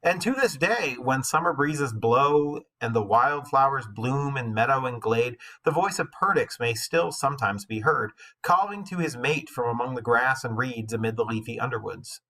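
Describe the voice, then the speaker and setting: Husky-voiced man teaching and reading aloud. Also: mid-range voice, normal speed, hardly any pauses, sharp tone. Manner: conversational, informal asides. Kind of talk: expressive storybook reading mixed with casual classroom explanation.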